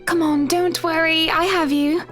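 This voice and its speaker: An actress voicing a gracious, doting married woman in a visual novel, solicitous and effusive, with a gently chiding manner. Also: very high voice, steady tone, unhurried, faint music behind. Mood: concerned.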